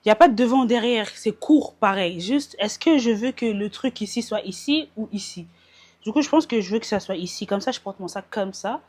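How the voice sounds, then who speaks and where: A woman's voice: mid-range voice, expressive, brisk, darkish tone. A young woman from France vlogging casually into a small mic, chatting about her outfit and slipping English words into her French. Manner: chatty, mixes in English words, laughs at herself. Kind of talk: casual vlog chat. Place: at home getting ready, then out in the street and metro.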